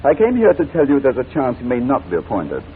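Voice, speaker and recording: An actor playing a coolly polite, menacing blackmailer in a 1940s radio drama, speaking in formal, mockingly courteous phrases. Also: male voice, mid-range voice, huge pitch swings, quick pace, breathy edge, heavily acted. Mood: coolly threatening. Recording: noisy, tinny 1940s radio recording.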